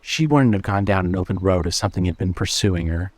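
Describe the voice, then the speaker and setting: A man's voice: deep voice, expressive, quick pace, a little mellow, dry recording. A man reading scripted suspense fiction as a narrator.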